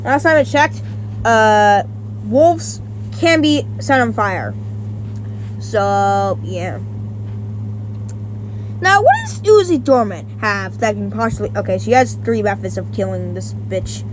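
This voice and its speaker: A woman's voice: mid-range voice, lively intonation, slow, pauses often, background noise. A woman giving casual versus-battle commentary, rambling with many restarts and self-corrections and some casual swearing. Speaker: YouTuber.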